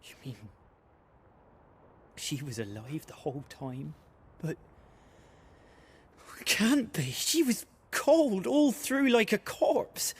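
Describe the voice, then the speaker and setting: A plain village fisherman from a fantasy game, haunted by lost love. His voice sits fairly high for a man. He talks in halting, rustic, emotional phrases. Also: lively intonation, husky, exaggerated voice. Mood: horrified, anguished. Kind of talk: dramatic video game voice acting.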